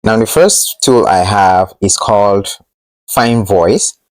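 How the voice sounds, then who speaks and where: Male tutorial host, low register, informal and slightly hesitant delivery, dry room-free sound. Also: slow pace, no room sound. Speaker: host of a tech tutorial channel. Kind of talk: casual screen-share tutorial narration.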